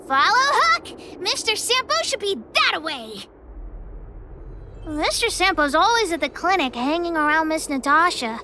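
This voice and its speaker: A woman's voice: very high-pitched, sing-song, measured pace, background noise, heavily acted. A woman voicing a bold, boastful little girl treasure hunter in a video game. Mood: indignant, eager. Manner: childlike, boastful, speaks of herself in third person.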